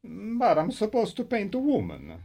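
A man acts out a self-doubting, anguished old-master artist in a comic radio play. The husky voice leaps between low and high with huge swings. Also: huge pitch swings, normal speed, warm tone, heavily acted. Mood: hesitant, flattered.

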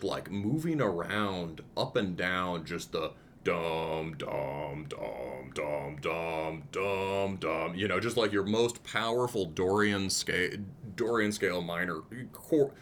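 A man's voice: low-pitched, expressive, takes it slowly, few pauses, slight background noise. A man in casual conversation, thinking aloud as he picks apart songs, restarting his sentences with lots of "um"s. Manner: thoughtful, restarts often, many ums, hums riffs. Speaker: podcast co-host discussing music.

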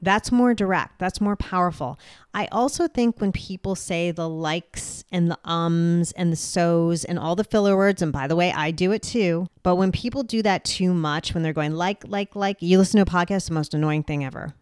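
A woman hosting a solo podcast, speaking directly and clearly with a booming voice. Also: normal speed, darkish tone, dry recording, studio quality. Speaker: podcast host.

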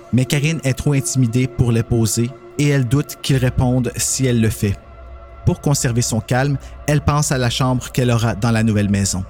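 A male narrator reads a dramatized story. His pitch is ordinary for a man, and music plays clearly under the voice. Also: a little mellow.

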